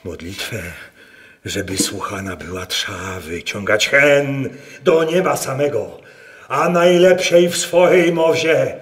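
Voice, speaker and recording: A man's voice: medium pitch, expressive, slow pace, slightly rough. A man acting a devout, hopeful peasant treasure seeker in a Polish radio drama, solemn and prayerful in folksy old-fashioned speech. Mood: fervent prayer. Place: wooden barn used as radio drama set. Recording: reverberant barn, faint noise.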